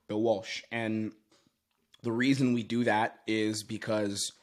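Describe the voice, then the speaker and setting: A man giving explanatory commentary as a sales coach, stressing his points emphatically and repeating phrases. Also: lowish voice.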